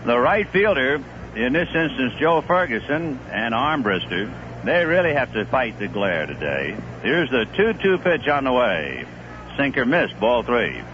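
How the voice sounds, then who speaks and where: A man calling baseball play-by-play on a 1970s radio broadcast, in a steady, conversational manner. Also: measured pace. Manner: steady, conversational play-by-play with live pitch calls. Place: stadium broadcast booth. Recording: muffled 1970s AM radio broadcast with faint hiss.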